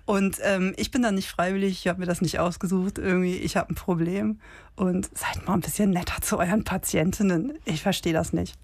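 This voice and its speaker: A woman in her fifties chats on a podcast with a slightly low, dry-sounding voice. She tells stories animatedly, with many fillers and small restarts along the way. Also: steady tone, fairly quick, a little mellow, no room sound. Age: 50s. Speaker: podcast co-host. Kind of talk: casual chat, storytelling.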